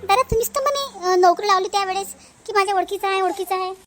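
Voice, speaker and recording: A woman's voice: extremely high pitch, quick pace, fairly bright tone, soft background music. A woman voicing an anxious, pleading young sister-in-law in a cartoon family drama, with a Vidarbha accent. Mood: worried, pleading. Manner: pleading, insistent.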